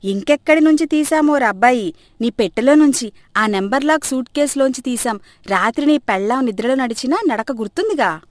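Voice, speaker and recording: A woman's voice: high-pitched, a little fast, dry recording, studio quality, natural voice. A woman voicing a scheming, bossy old mother-in-law in a comic moral story, scolding and exclamatory. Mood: taunting, demanding.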